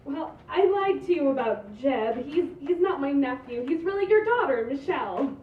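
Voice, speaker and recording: A woman's voice: high-pitched, lively intonation, normal speed. A woman reading the part of an earnest, sheepish woman confessing to her ex at a comedy script table read. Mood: earnest, apologetic. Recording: muffled, noisy, echoey far-off room recording.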